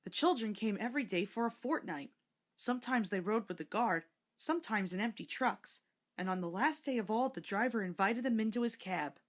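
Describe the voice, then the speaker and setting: Woman reading a kids storybook, medium pitch, really animated intonation. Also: fairly quick. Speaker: storybook narrator. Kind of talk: scripted children's story narration.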